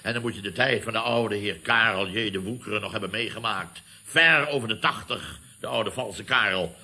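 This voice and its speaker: Radio comedy role: pompous, nostalgic building-firm boss, very bright forceful voice, grand orator's delivery. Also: male voice, on the low side, normal speed. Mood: relishing nostalgia. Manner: pompous, orating, emphatic. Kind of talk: Dutch radio comedy serial acting.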